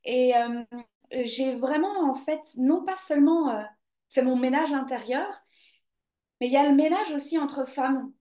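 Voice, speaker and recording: A woman's voice: sits high, measured pace, dark tone, roomy sound. A woman yoga teacher hosting a casual live stream, chatting spontaneously with viewers and hesitating often. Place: home near the coast.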